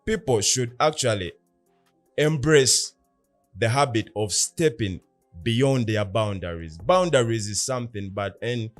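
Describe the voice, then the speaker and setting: Earnest male podcast co-host, mid-pitched, lectures through rhetorical questions; faint music underneath. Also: lively intonation, measured pace. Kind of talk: casual philosophical discussion.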